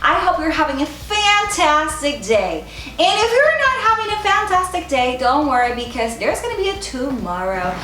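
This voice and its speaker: A woman's voice: high voice, big pitch swings, normal speed, background noise. A woman from Puerto Rico with a Puerto Rican accent, speaking as a school counselor and giving encouraging, informal advice straight to viewers. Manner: addresses viewers directly, encouraging. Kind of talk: informal advice vlog to camera. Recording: echoey, roomy sound.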